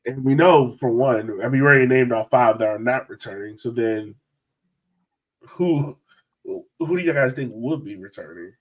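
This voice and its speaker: A man's voice: medium pitch, moderate pace. A man hosting a sports podcast in a casual, chatty style. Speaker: podcast host.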